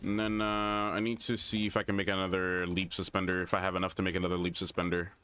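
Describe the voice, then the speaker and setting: A man's voice: sits low, level tone, fast talker, fairly bright tone, slight background noise. A young man with a Guyanese American accent, chatting casually and thinking aloud while he plays a game. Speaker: gaming streamer. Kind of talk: casual gameplay commentary.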